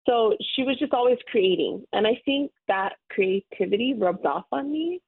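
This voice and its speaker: A woman's voice: a little high, expressive, moderate pace, slightly rough. A woman in her thirties from Hawaii, a guest who talks in a friendly, rambling way with a light laugh. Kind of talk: casual interview. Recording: tinny phone line.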